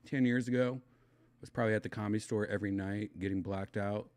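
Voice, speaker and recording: Deep voiced guy from wisconsin, slight lisp, mumbly rambling stream chat, dry close mic. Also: steady tone, a little fast, no echo. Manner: loose, mumbling, trails off into quiet asides. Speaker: livestream host reading chat.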